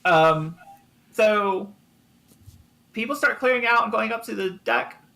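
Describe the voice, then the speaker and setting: A man narrating a tabletop game as game master, improvising with frequent ums and pauses. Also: sits high, vocal fry, pushed and bright.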